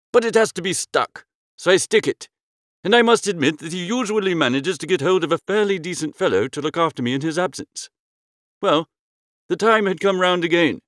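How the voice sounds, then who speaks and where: Guy reading a comic audiobook, very lively swings in pitch, breezy and theatrical, dry close sound. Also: no echo. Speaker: audiobook narrator. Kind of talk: expressive first-person comic story narration.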